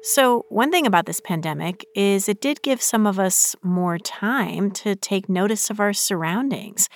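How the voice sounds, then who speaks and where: A woman's voice: medium pitch, no room sound. A woman hosting radio, reading scripted narration into a close studio microphone. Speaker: radio host.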